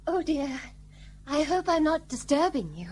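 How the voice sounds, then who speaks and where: Actress as a coy, high-voiced colonial lady. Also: very animated, a little slow, darkish tone. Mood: coy pleading. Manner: genteel, wheedling, coquettish. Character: flirtatious, coy colonial British lady, falsely helpless. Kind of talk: period radio play acting.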